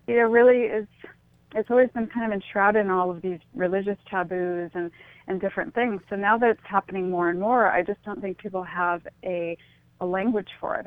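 A woman's voice: on the low side, no echo. A middle-aged woman calling into a radio show, thinking aloud with hesitant restarts. Her voice comes through a tinny, narrow phone line with a dry sound. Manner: reflective, hesitant restarts, soft breathy exclamations. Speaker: guest, suicide prevention advocate.

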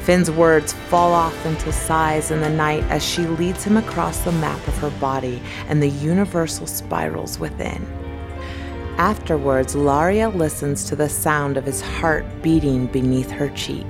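A woman with a very low voice narrates a scripted audio drama. She delivers storytelling narration while music plays clearly behind her. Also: steady tone, unhurried.

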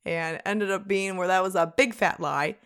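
A young woman with a low, gravelly voice co-hosts a casual podcast. She talks in a chatty, animated way and laughs often. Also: normal speed. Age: young adult. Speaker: podcast co-host. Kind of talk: casual chat.

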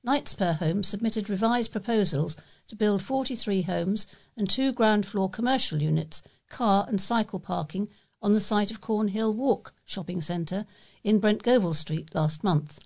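A woman's voice: mid-range voice, measured pace, gravelly, a little mellow. A woman with a British accent reading local newspaper articles aloud as a volunteer newsreader.

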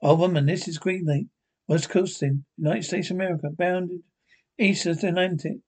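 A man voicing an irritable, suspicious small-town housewife in a brusque, scolding way, with a husky voice. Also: high-pitched, steady tone, normal speed, darkish tone. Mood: irritated.

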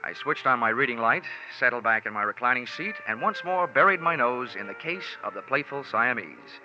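An actor playing a wry, hard-boiled American insurance investigator in a 1940s radio drama, narrating with dry, deadpan wisecracks. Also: male voice, mid-range voice, a little fast, natural voice. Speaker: lead actor narrating as the detective. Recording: muffled 1940s radio recording with music.

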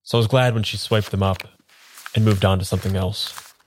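An actor playing a nervous, hesitant amnesiac man in an improvised radio drama, talking in a halting, understated way. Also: lowish voice, fairly even intonation, slight background noise, lightly acted, rough recording. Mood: brooding narration. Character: nervous, hesitant amnesiac man having his tarot read.

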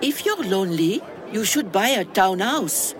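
An actress playing a wealthy, worldly older widow, elegant and teasing, in an audio drama. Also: female voice, mid-range voice, big pitch swings, unhurried, music in the background. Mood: advising.

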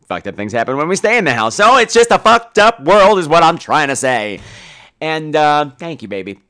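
A man's voice: on the high side, sing-song, moderate pace, pushed and bright, smooth. A man hosting a podcast, chatting casually in a campy, sarcastic, profane way as he reacts to messages. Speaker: podcast host. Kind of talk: casual chat, reacting to voicemails.

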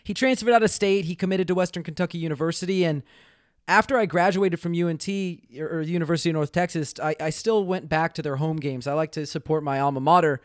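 A young man co-hosting a sports podcast, talking through player stats in a quick, analytical way with lots of fillers. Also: a little high, no room sound, clean studio sound. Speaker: podcast co-host. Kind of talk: casual sports podcast chat.

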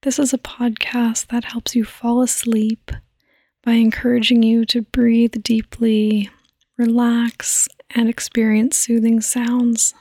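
Slow, breathy woman guiding sleep meditation. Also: fairly high pitch, no room sound, studio quality. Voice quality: breathy, often near a whisper. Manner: soothing, gentle, unhurried. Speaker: podcast host and meditation guide. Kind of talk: soft-spoken guided sleep meditation.

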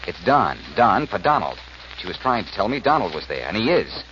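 An American man playing a quick-witted, commanding detective with a brisk, wisecracking manner in 1940s radio drama. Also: fairly low pitch, sing-song, fairly bright tone. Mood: confident, explaining. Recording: muffled, noisy old radio recording.

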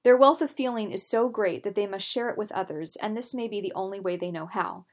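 A woman narrating scripted nonfiction as an audiobook reader, in a measured, articulate way. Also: medium pitch.

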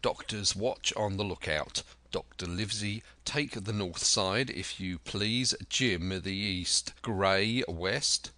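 A man voicing a stern, curt ship's captain who speaks in deliberate, scornful commands in an adventure storybook. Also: fairly low pitch, sharp tone. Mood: commanding. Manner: clipped orders, deliberate, scornful.

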